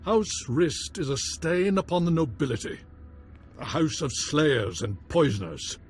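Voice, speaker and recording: Haughty baron, lively intonation. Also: male voice, a little high, measured pace, faint music behind. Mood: haughty, guarded. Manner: formal, pompous, dismissive. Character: haughty, cautious nobleman baron, dismissive husband. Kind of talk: scripted video game acting.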